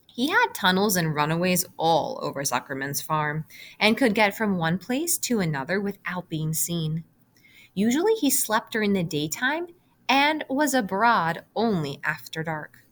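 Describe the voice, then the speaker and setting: A woman's voice: on the low side, fairly bright tone, slight background noise. A woman reading a children's story aloud expressively, in the manner of a teacher. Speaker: read-aloud reader, teacher.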